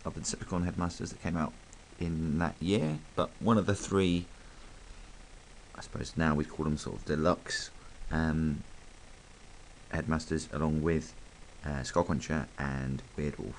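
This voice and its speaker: Croaky low-voiced man reviewing a toy. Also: steady tone, unhurried, slight background noise, rough recording. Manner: chatty, rambling, full of ums. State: croaky from hay fever. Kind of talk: casual hands-on review.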